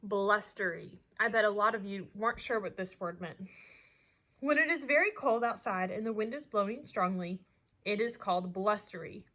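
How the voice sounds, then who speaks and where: A woman's voice: medium pitch, normal speed. A woman teaching young children, reading a picture book expressively and talking to them with questions. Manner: asks listeners questions, animated storytelling delivery.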